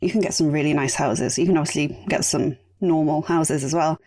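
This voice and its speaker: Chatty low-voiced woman, a bit raspy. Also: brisk, a little mellow. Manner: chatty, rambling asides. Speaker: podcast host. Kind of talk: casual solo podcast talk.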